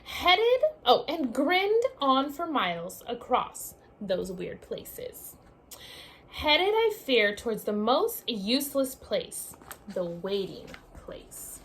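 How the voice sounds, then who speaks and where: A woman with a high voice reads a children's story aloud like a schoolteacher. Her delivery is unhurried and sing-song, swinging widely in pitch as she addresses young listeners. There is some steady noise on the recording. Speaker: schoolteacher reading a picture book aloud to pupils. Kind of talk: animated children's read-aloud, speaking directly to young listeners.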